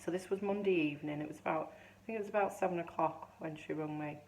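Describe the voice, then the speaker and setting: A woman in her fifties with a low, gravelly voice that is still a touch hoarse. She talks in a chatty, rambling way, often restarting her sentences as she tells her story. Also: fast talker, darkish tone, a little hiss or hum. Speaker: vlogger. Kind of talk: casual chatty vlog.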